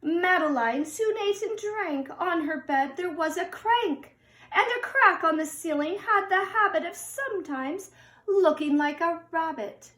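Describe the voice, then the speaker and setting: A middle-aged woman reading a picture book aloud to young children in an expressive singsong way, recorded in a room at home. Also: extremely high pitch. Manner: slow, deliberate, singsong storytelling addressed to children. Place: indoors upstairs at home. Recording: roomy home recording with echo.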